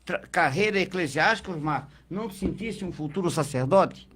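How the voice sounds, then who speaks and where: A man's voice: a little high, lively intonation, normal speed, fairly bright tone. Curious male interviewer. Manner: informal and curious, short prompts and backchannels. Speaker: interviewer, host. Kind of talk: interview questions.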